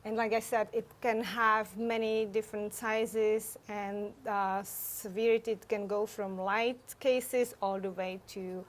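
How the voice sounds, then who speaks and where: A middle-aged woman from Czechoslovakia with a Czech accent, talking as a guest in a casual interview, halting with fillers. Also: medium pitch, level tone. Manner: halting, with many fillers and frequent laughter. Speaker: guest, photographer.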